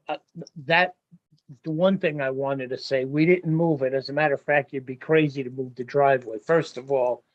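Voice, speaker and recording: A man presenting his site plans to a village board, explaining in a practical, plain-spoken way. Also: on the high side. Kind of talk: explaining at a public meeting. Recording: lo-fi meeting audio.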